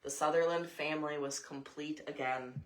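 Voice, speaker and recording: A woman's voice: low voice, fairly even intonation, normal speed, poor audio. An American woman reading a story aloud, with casual asides along the way. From Montana, USA.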